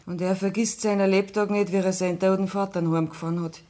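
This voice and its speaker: An actress playing a calm, firm Bavarian farm widow with a Bavarian accent in a radio play. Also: female voice, mid-range voice, fast talker, natural voice. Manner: calm, firm, plain-spoken. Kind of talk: 1960s radio play acting.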